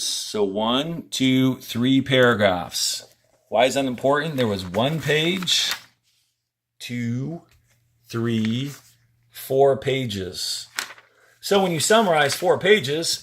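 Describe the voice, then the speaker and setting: Animated male teacher reading aloud. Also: mid-range voice, slight background noise. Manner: deliberate, instructional, emphasizes key words. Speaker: fourth-grade teacher. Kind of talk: reading a textbook aloud with instructional asides.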